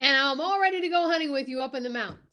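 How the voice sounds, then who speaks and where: A woman's voice: sits high, rapid-fire, heavy background noise, lightly acted. A woman playing a goofy, dim-witted male sidekick in a 1940s-style radio comedy. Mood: cheeky, eager.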